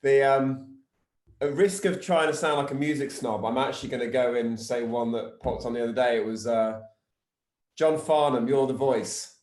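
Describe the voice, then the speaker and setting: A man's voice: mid-range voice, normal speed, a little mellow, echoey room. A man introducing himself on a panel over a video call, winging it with hesitant fillers and restarts.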